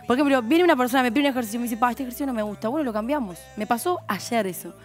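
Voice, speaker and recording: Uruguayan lady trainer chatting fast on a stream, tells stories w quotes, bit high pitched. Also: soft background music. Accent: Uruguayan Spanish. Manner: chatty, explains with quoted anecdotes. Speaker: gym trainer guest. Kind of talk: casual studio chat. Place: streaming studio set.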